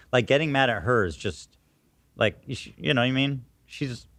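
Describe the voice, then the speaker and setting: A man's voice: medium pitch, animated, moderate pace, a bit bright, dry recording. A man giving blunt, informal commentary in a casual chat.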